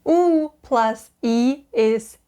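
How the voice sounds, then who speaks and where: A young woman with a German accent, patiently explaining Korean vowels and repeating each sound slowly. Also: fairly high pitch, warm tone. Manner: cheerful, patient, drawn-out sounds for repetition. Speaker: Korean language teacher.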